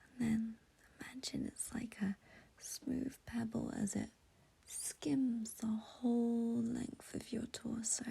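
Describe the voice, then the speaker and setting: Female meditation guide, soothing and intimate, very breathy whisper with a soft, mellow tone. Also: on the high side. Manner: soothing, slow, gentle, intimate.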